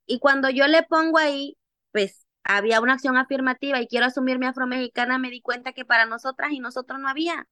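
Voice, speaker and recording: Higher-pitched woman with a Costa Chica accent. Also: fast, no echo. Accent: Costa Chica Mexican Spanish. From Costa Chica, Mexico. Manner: animated, acts out remembered dialogue. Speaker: interview guest, activist. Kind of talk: personal storytelling in conversation.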